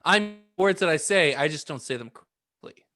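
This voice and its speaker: A man co-hosting a podcast with casual, jokey banter, halting and tripping over his words. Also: fairly high pitch, expressive, bright, forceful tone. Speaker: podcast co-host. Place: remote video call.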